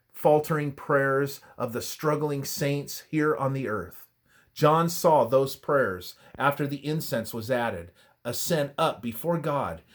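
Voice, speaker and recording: Man reading a devotional study aloud. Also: medium pitch. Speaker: reader of a religious study. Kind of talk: scripted devotional narration, read aloud.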